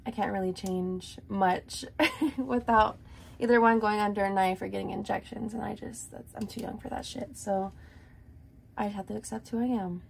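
A woman in her twenties chatting casually to camera in a small room, rambling with lots of fillers, her voice raspy and dry. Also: medium pitch, fairly even intonation, normal speed. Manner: rambling, lots of likes and ums. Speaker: vlogger.

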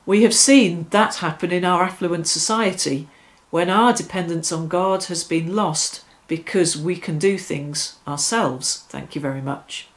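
Slow-paced middle-aged woman leading prayer. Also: on the low side. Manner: measured, reverent, with deliberate phrasing. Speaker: church worship leader. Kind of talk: devotional reflection and led prayer. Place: small furnished room indoors.

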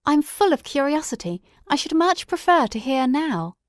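Woman as an excitable loyal gentleman in an audiobook, very lively pitch, on the high side, earnest and formal. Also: normal speed, no room sound, studio quality. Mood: eager. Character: excitable, devoted gentleman friend of a detective, telling the story. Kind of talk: dramatic audiobook reading.

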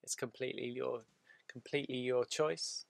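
A man leading a guided meditation in a soothing, deliberate way, leaving drawn-out pauses between short phrases. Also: mid-range voice, fairly even intonation, creaky, no echo.

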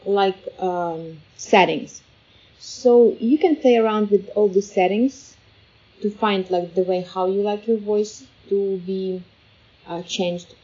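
A woman with a soft-edged, mellow voice walks through software steps as a tutorial host. Her delivery is informal and a bit hesitant, in a casual step-by-step style. The voice-over sounds somewhat muffled and lo-fi. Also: medium pitch. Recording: slightly dull, lo-fi voice-over.

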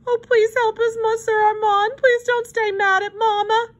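A woman voicing anxious young children, earnest and pleading, in an expressive children's storybook reading. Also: very high-pitched, unhurried, pitched above the performer's own voice, exaggerated voice. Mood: tearful, pleading. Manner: plaintive, earnest.

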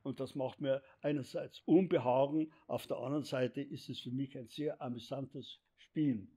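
Man, 80s, dark husky voice, hesitant reflective interviewee. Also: medium pitch, steady tone. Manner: slow, halting, repeats words. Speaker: interviewee photographer. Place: indoors, seated against a plain wall. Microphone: lapel mic.

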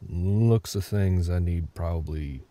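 A man playing a gruff but caring older cowboy ranch hand in an intimate audio roleplay, with a low gravelly voice and a terse, unhurried drawl. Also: dark tone, slight background noise. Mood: instructing, steady. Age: middle-aged. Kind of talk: intimate ASMR roleplay acting.

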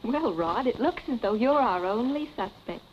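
Actress as a composed 1940s film wife, muffled soundtrack. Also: female voice, a little high, animated, moderate pace, a little hiss or hum, lightly acted. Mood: composed, firm. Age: young adult. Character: composed young wealthy wife, wry and firm. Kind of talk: 1940s film drama acting.

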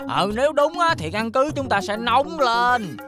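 A dim, chatty cartoon henchman with a southern Vietnamese accent. His delivery is bumbling and very animated, eager to please. Music sits behind the voice. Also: very high-pitched, unhurried, heavily acted. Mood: rambling, sheepish. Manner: bumbling, rambling, ingratiating. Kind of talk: dubbed cartoon acting.

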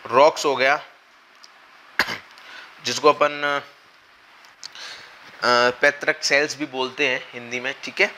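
A young man lectures at a whiteboard in a slightly hoarse voice, the lingering trace of a cold. His tone is bright and forceful, and he talks through each point patiently, pausing often to check that students are following. The lapel mic picks up a faint hiss of room ambience in the classroom. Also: mid-range voice, flat delivery. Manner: explanatory, with frequent check-ins and hesitations. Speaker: exam coaching teacher.

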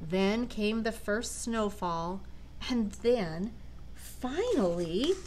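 An older woman, a grandmother, reading a children's storybook aloud with dramatic, deliberate phrasing. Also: mid-range voice, expressive, a little mellow, a little hiss or hum. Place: large living room at home.

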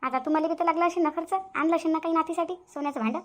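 Lady doing a grumbly proud cartoon granny, vidarbha marathi accent, really high and fast. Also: steady tone. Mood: boastful. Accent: Vidarbha Marathi (Varhadi). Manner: nagging, complaining, muttering asides. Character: proud, grumbling, boastful grandmother and mother-in-law. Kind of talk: cartoon family drama dialogue.

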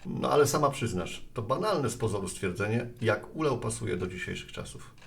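A man playing a friendly, hurried mail carrier in a radio play, chatting in a conversational way. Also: fairly low pitch, expressive, creaky, a little hiss or hum. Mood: reflective. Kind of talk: Polish radio play dialogue.

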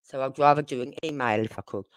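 A woman's voice: extremely low pitch, quick pace, no room sound. A woman with a British accent hosting a casual true-crime livestream, chatting in a rambling, informal way. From United Kingdom. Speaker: livestream host.

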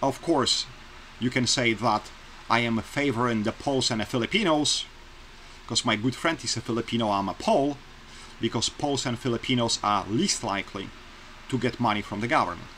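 A man's voice: mid-range voice, brisk, pushed and bright. Polish guy in his 40s ranting into a webcam in his bedroom, plain earnest talk, slight hiss. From Poland. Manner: earnest, plainspoken, repeats points for emphasis. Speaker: vlogger. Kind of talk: casual opinion vlog to camera. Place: small bedroom, indoors. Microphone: webcam close-up.

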